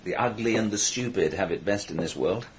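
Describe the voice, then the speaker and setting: Deep-voiced, earnest English painter, acted by a man. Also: a little fast, slight background noise, lightly acted, rough recording. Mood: intense confiding. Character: earnest, secretive English painter, sensitive and devoted to art. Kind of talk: radio drama acting.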